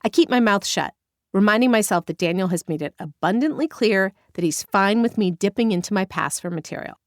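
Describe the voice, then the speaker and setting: A woman's voice: medium pitch, fairly quick, dry recording, clean studio sound. A woman from Northern California narrating her memoir in the first person, in a wry, conversational way. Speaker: audiobook narrator.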